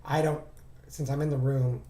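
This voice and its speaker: A man with a raspy, hoarse voice recovering from laryngitis, giving an informal, halting screen-share tutorial as a trading instructor. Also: mid-range voice, little pitch movement, mellow. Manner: halting, thinking aloud. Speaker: trading instructor reviewing charts. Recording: roomy, echoing voice recording.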